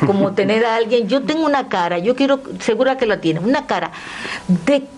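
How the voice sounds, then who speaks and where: A woman's voice: a little high, moderate pace. An older woman, reminiscing as a guest and acting out remembered conversations as she goes. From Dominican Republic. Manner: animated storyteller, acting out remembered dialogue. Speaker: guest, television performer. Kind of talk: spoken reminiscence at an event.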